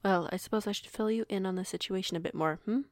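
A woman playing a composed, kindly werewolf pack alpha in an audio roleplay, speaking in a formal, measured, authoritative way. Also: medium pitch, darkish tone, dry recording, clean studio sound, natural voice. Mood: apologetic, composed.

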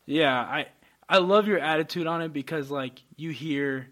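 Young male podcast host, slightly high voice, animated and chatty. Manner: animated storyteller, quick to laugh. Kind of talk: casual chat.

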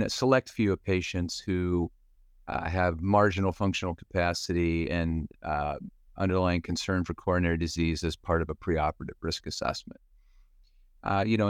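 Deep-voiced cardiologist, measured and smooth. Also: male voice, no room sound, studio quality. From Western Pennsylvania. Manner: measured, many fillers and restarts. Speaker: cardiologist guest expert. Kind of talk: podcast medical discussion.

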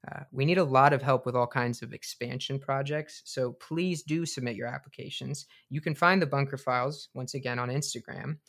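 Comedy podcast lead a man: excitable underground leader, ordinary mid voice, informal, halting, sweary. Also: medium pitch, vocal fry. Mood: informal, upbeat. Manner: casual, hesitant, foul-mouthed. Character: excitable, sweary male bunker leader and tinkerer. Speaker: co-host. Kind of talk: comedic improvised radio drama.

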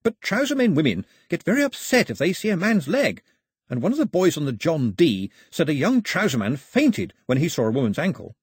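A man acts out a cheerful, talkative young male islander from a storybook. The voice jumps high and swings about eagerly, explaining everything with emphasis, recorded close and dry. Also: big pitch swings, brisk, breathy edge, no echo. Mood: eager, confiding. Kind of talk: storybook character dialogue.

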